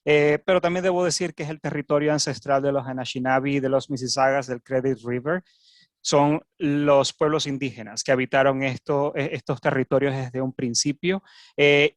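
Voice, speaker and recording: A Venezuelan man giving a keynote talk at an academic webinar over a video call, with a Venezuelan Spanish accent, often pausing and repeating words as he goes. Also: medium pitch, no echo. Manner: frequent hesitations and repeated words. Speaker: keynote speaker. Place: home office in Canada.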